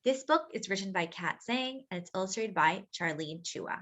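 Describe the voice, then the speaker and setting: A woman's voice: medium pitch, animated, normal speed, a bit bright. A woman hosting story time, reading a picture book expressively for young children, stretching key words and adding simple explanatory asides.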